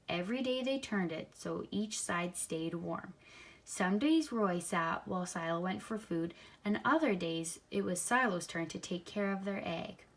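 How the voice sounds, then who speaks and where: Woman reading a children's storybook aloud. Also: on the low side. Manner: expressive, simple explanations as if to young children. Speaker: storybook reader. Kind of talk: children's read-aloud with think-aloud comments.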